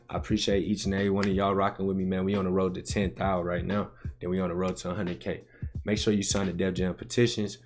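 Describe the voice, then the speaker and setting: A young man giving casual, slangy reaction commentary to his viewers as a gaming video host. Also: sits low. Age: young adult. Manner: slangy, conversational, addressing chat. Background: music.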